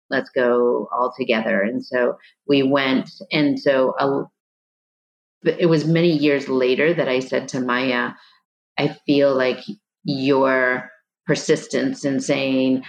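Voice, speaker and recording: A woman's voice: rumbling low, dull audio. A middle-aged woman, a guest on an interview, telling a family story in a reflective, searching way with frequent restarts. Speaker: guest, adoptee mother. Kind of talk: reflective conversation.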